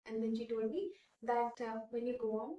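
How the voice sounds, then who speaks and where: Young woman from India, Hindi first language, earnest and halting, fairly high voice, roomy echo, a bit far from the mic. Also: steady tone, mellow, lo-fi sound. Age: 20s. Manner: earnest, hesitant with frequent fillers and restarts. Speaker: interviewee. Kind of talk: casual interview answers.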